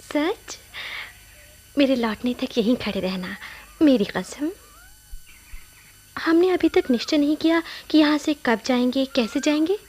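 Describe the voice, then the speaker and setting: A woman's voice: high-pitched, expressive, unhurried, a little mellow. A woman acting an anxious, defiant, wounded young village wife in a Hindi radio play, with dramatic, pointed delivery. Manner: dramatic, pointed, emotionally charged.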